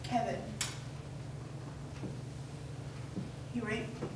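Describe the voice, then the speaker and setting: A woman's voice: a little fast, natural voice. Woman playing a withdrawn teenage girl, very echoey. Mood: subdued, hurt. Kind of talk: staged script reading. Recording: very echoey room, distant mic, noisy.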